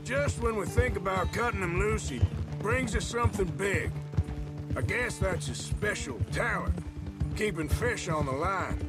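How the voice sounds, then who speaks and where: An actor playing a wry, gruff American outlaw gunslinger in his thirties in a video game, speaking with a drawl. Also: sits high, measured pace. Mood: dry, easy. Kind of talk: video game cutscene acting. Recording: loud music and horse sounds behind. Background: music, horses, hoofbeats.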